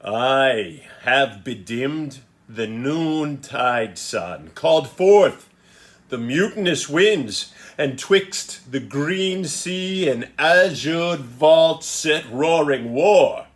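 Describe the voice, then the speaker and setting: A man playing a powerful, wronged magician in a Shakespearean soliloquy, declaimed in a solemn, commanding, deliberate manner. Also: a little high, animated. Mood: commanding, grand.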